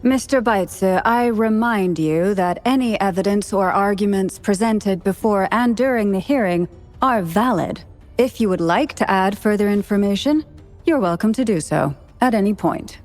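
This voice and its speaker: An actress playing a composed female judge presiding over a hearing, speaking in a formal, measured, authoritative way. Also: medium pitch, a little mellow, natural voice. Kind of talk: video game voice acting. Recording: game audio with faint background music. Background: faint music.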